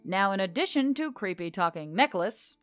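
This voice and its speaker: A woman voicing a weary, sardonic male treasure-hunting boss in a fantasy audiobook, with a gruff, derisive, deadpan manner. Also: medium pitch, moderate pace, soft background music, lightly acted. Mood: exasperated.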